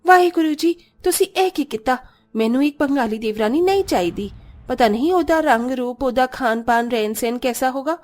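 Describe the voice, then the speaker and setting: A woman's voice: high-pitched, expressive, normal speed, darkish tone. A woman playing a peevish, dramatic Punjabi elder sister-in-law who whines and complains indignantly in a cartoon story. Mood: whining, upset.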